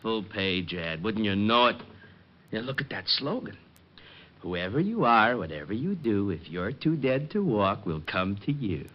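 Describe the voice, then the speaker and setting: A man's voice: fairly low pitch, sing-song, unhurried. An actor playing a fussy, vengeful grouch of a man in an old-time American radio drama, muttering and flustered. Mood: grumbling, scheming. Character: fussy, exasperated, vengeful male grouch of a neighbour. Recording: muffled, noisy old radio broadcast.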